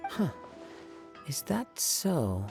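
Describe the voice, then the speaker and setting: Calm, courteous investigator. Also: male voice, fairly high pitch, creaky, faint music behind, exaggerated voice. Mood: thoughtful, tactful. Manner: polite, measured, formal. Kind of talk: video game voice acting.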